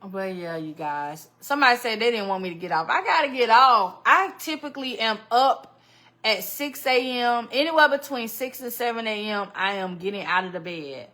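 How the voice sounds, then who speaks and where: Woman livestream host, slightly high and sharp-toned, loose chatty delivery. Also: normal speed. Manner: chatty and animated, greets viewers by name and laughs often. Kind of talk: casual livestream chat with viewers.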